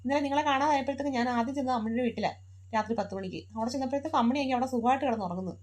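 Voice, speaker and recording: A high-pitched, quick-talking mother, acted out by a woman, who nags and scolds her sleepy daughter. She fusses constantly and hurries along. It sounds like a home-made family skit. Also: a little mellow, natural voice. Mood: puzzled. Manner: fussy, nagging, scolding. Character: busy mother who runs a beauty parlour.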